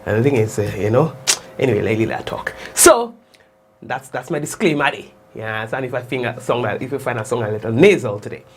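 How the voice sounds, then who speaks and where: A man's voice: mid-range voice, fairly quick, husky. A man hosting a live news show in a casual, upbeat way, his voice stuffy and congested. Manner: lively, upbeat, folksy asides.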